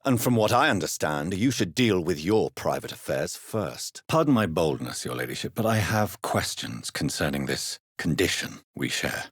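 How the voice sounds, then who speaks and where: A grave gentleman doctor turned vampire in a video game. His voice is very low and husky, moving expressively through formal, measured phrases. He stays courteous yet stern, in a close, dry recording. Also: very animated, no echo, exaggerated voice. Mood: grave, firm. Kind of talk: scripted video game character dialogue.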